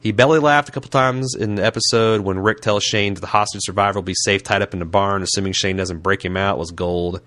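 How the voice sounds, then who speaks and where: A man with a light Southern accent hosts a casual podcast in a frank, joking, profane manner. His voice sits low, and the recording is dry and close. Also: fairly even intonation, quick pace, few pauses, a bit bright, dry recording. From Indianapolis, USA. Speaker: podcast host. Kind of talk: casual podcast chat, reading listener mail.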